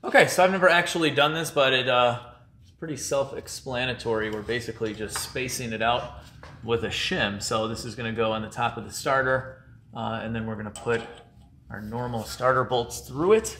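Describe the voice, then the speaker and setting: A man in his thirties chats at a medium pitch while he wrenches under a car, holding the camera close to his face. Now and then he drops into exasperated asides. His voice bounces around a hard, echoey garage. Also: moderate pace, pushed and bright, slight background noise. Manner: chatty, exasperated asides, talks while working. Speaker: car restoration YouTuber. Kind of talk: casual hands-on car repair vlog. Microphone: handheld camera held close.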